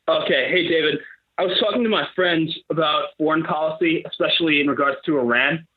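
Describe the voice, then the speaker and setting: A man's voice: on the high side, a little fast, slightly rough. Man on a tinny speakerphone call. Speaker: caller. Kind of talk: casual phone call. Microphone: phone on speaker.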